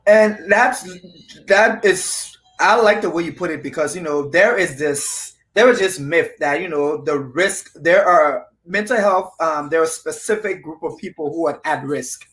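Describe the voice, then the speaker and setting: A man's voice: a little high, measured pace, fairly bright tone, low-quality recording. A man hosts a conversational interview. He speaks casually, often circling back and rewording his thoughts. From Liberia, West Africa. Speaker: interviewer, host.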